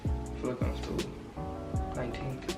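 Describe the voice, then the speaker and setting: A young man of nineteen rambles in relaxed slang about his relationship for a vlog. He sits back from the mic, and the room rings with echo. Music plays underneath. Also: medium pitch, normal speed, gravelly. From Southern California. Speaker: vlogger. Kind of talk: casual couple Q&A chat. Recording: roomy echo, mic far off, music behind.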